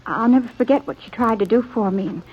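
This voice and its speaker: A woman playing a sweet, humble, grateful young woman in a 1950s Western radio drama, soft and breathy, speaking hesitantly and wistfully. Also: fairly high pitch, fast talker, a little hiss or hum, lightly acted. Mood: wistful, resigned. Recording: dull old radio recording.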